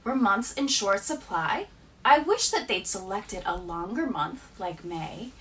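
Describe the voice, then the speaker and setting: A woman teacher reading children's poems aloud with a deliberate, expressive storytelling delivery. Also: mid-range voice, far from the mic, a little hiss or hum, rough recording.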